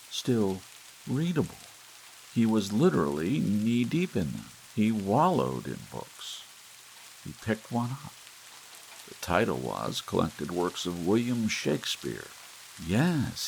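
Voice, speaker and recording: A man with a low, gravelly voice narrates a story softly and slowly, lingering on pauses in a gentle bedtime manner. His pitch moves a lot for such a quiet read, rising and dipping with each phrase. Faint hiss and soft rain sit under the voice. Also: poor audio. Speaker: audiobook narrator.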